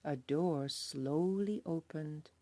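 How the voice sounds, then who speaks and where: A woman teacher reading a children's storybook aloud, slow and expressive. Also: deep voice, dry recording. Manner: slow, expressive, lively voices for characters.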